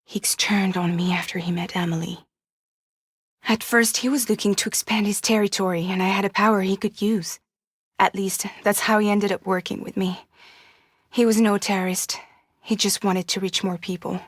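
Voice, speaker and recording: A woman's voice: mid-range voice, fairly even intonation, moderate pace, breathy edge, no echo, lightly acted. Woman acting tired wry game courier girl, guarded but cares, understated and intimate, super dry close sound. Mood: weary, serious. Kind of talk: video game dialogue.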